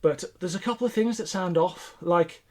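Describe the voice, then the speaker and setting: A man's voice: high-pitched, moderate pace, a little mellow. A man co-hosting a podcast, chatting casually and informally with opinions to share. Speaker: podcast co-host. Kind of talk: casual chat reviewing a radio play.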